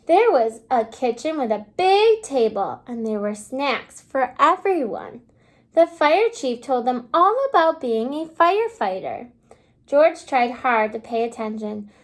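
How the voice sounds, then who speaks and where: Very high-pitched, expressive woman teacher reading aloud. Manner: expressive, prompting listeners with questions and pauses. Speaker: kindergarten teacher. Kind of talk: children's read-aloud lesson with questions.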